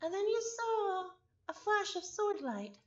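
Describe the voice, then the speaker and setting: A woman's voice: extremely high pitch, sing-song, unhurried, muffled recording. A woman reading a story aloud live with casual asides, often stumbling over and repeating words. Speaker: visual novel reader, streamer.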